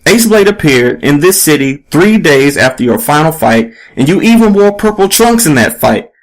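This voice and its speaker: A man's voice: on the high side, big pitch swings, moderate pace, pitched above the performer's own voice, rough recording. A man voicing a pushy, relentless female investigative reporter in an audiobook story, with an emphatic, probing delivery. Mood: accusing.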